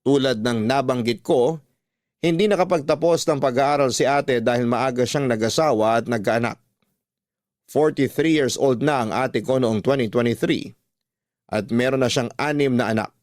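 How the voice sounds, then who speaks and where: An actor playing a reflective man who recounts his family story in a measured, confiding way for a radio drama. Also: mid-range voice, darkish tone, dry recording, studio quality, lightly acted. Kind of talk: scripted first-person storytelling for radio drama.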